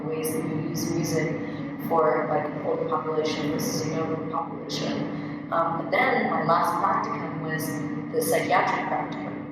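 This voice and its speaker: A young woman singer with a low speaking voice, chatting in an animated way with many fillers as a guest at a gallery event. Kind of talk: casual panel conversation. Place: art gallery event space. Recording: echoey gallery room, distant mic, audible noise.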